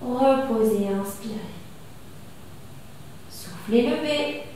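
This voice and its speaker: A French woman in her thirties leading a gentle exercise class, calmly cueing each breath and movement as she does the exercise on a mat. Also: on the high side, takes it slowly, slight background noise. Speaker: fitness instructor. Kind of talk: guided exercise class instruction. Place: small gym studio with wall bars, indoors. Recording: echoey room from far off.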